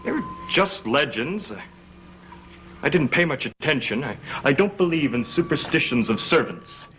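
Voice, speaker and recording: An actor playing a proud, defiant young heir in a gothic television soap opera, imperious and indignant, with music behind him. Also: male voice, on the high side, animated, moderate pace, a bit bright. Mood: guarded. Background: background music.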